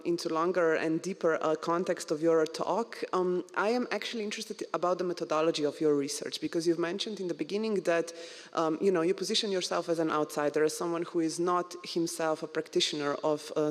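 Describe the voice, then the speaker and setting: A man moderating a conference Q&A, polite and hesitant with many fillers. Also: fairly high pitch. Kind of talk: moderating a Q&A session. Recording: long hall reverb.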